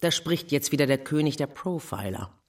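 A wry police investigator played by a male actor in a crime drama. The voice is on the high side and very lively in intonation, matter-of-fact yet needling. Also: moderate pace. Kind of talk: German crime radio drama acting.

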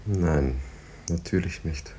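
An actor in a 1950s radio drama playing a gentle, worried husband at a deathbed, speaking in a hushed, breathy voice. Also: male voice, low-pitched, slow, full character voice. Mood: subdued, tender.

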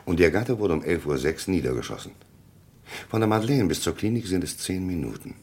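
A man acting a probing, deliberate police investigator in a German radio crime drama, with a gravelly, husky voice and pointed questions. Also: deep voice, expressive, darkish tone, full character voice. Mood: pointed, reasoning. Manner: questioning, hesitant pauses, then pointed.

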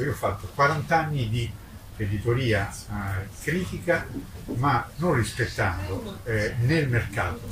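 An older man, an independent publisher, speaks off the cuff at a book presentation in an emphatic, polemical manner, repeating words for weight. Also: medium pitch. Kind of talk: impromptu speech at a literary book presentation. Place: live book presentation at a festival event. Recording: distant mic, echoey, noisy ambience.

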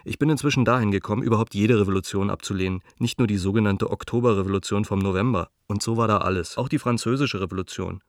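A man's voice: on the low side, fast, hardly any pauses, no echo, studio quality, close to an everyday voice. An actor in a radio play as an earnest, cautious dissident intellectual, reading his letter in a reflective, articulate, argumentative way. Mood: earnest, reasoning.

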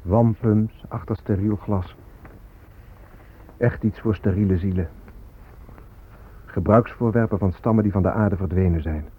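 A man's voice: fairly low pitch, breathy edge. An actor playing a weary, disillusioned, hungover man who broods in a radio drama monologue. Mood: bitter. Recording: tinny, phone-like recording with music behind. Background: music.

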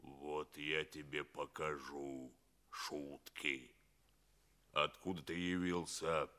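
Radio drama villain: bullying alien interrogator, very slow delivery, hard forceful edge, sneering threats. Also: male voice, very deep voice, little pitch movement, heavily acted. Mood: menacing, sneering. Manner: threatening, sneering, drawn-out words. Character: menacing alien official, bullying male interrogator. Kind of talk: theatrical radio play acting.

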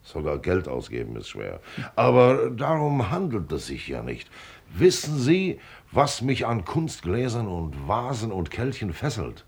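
Gruff, husky old millionaire, low-voiced. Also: male voice, huge pitch swings, a little slow, a little mellow, full character voice. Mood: pompous, musing. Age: older. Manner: declamatory, lecturing, blunt. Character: gruff, wealthy old millionaire father, pompous glass collector. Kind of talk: German radio play acting.

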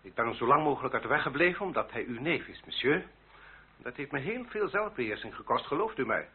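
An actor in an old radio play playing a proud, courtly French marquis and duellist who speaks formally, with elaborate politeness and cool menace. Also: male voice, mid-range voice, very animated, quick pace, husky. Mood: haughty, icy. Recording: dull lo-fi old radio recording.

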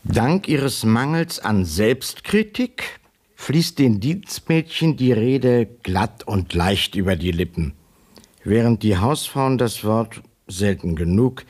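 Radio play casting: pompous male correspondent, lowish, very slow, animated intonation, condescending. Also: breathy edge, full character voice. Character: dry, condescending newspaper correspondent reading his report. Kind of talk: scripted report in radio play.

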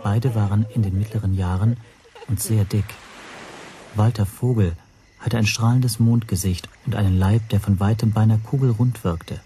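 An actor playing an observant language teacher who narrates his story in a measured, dryly descriptive way for a radio drama. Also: on the low side, steady tone, crowd noise, natural voice.

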